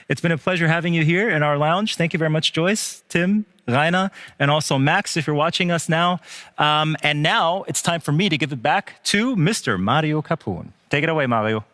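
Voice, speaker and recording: A man's voice: a little high, bright, forceful tone, dry recording, clean studio sound. A man presenting live as a show host, addressing guests and viewers.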